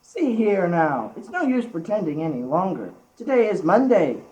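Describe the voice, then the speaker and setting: Blustering mill boss, played by a young teenage girl, lively swinging intonation, school radio drama, exasperated. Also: fairly low pitch, normal speed, dull audio. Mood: insistent. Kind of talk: amateur school radio play.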